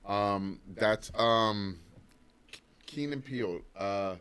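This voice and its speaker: A middle-aged man chats in a plainspoken, casual way as a podcast co-host. His voice is on the low side, with a bright, forceful tone. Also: very slow, smooth. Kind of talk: casual chat.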